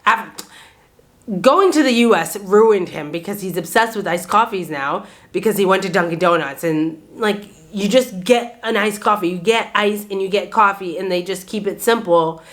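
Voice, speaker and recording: A woman's voice: mid-range voice, moderate pace, a bit bright. A woman in her thirties with a general American accent and a faint Boston lilt, rambling casually to camera with lots of "like"s. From Boston area, United States. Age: 30s. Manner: chatty, rambling, many "like"s, laughs often. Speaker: vlogger.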